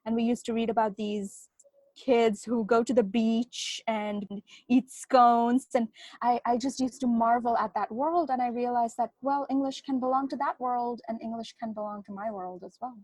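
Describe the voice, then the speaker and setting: A woman whose first language is Bengali, an author answering interview questions in a reflective, soft-spoken way that often slows down. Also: on the high side, steady tone, dry recording. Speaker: author guest.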